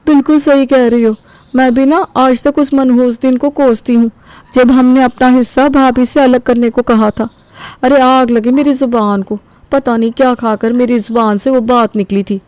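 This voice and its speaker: A woman's voice: high voice, fairly even intonation, moderate pace, a little mellow, clean tone, dry recording, pitched above the performer's own voice, natural voice. A woman voicing a regretful, feisty younger sister-in-law with dramatic exclamations in a cartoon family story. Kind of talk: acted dialogue in a Hindi cartoon family story.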